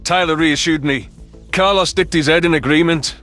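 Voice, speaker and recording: A man's voice: on the high side, moderate pace, a bit bright, soft background music. A man reading scripted first-person science fiction narration as an audiobook narrator.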